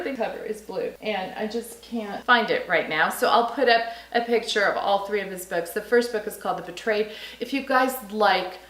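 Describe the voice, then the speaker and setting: A woman's voice: mid-range voice, a bit bright, echoey room. A woman in her forties from Serbia, chatting enthusiastically about books in a casual vlog, laughing often. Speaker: book vlogger. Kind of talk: casual book haul chat.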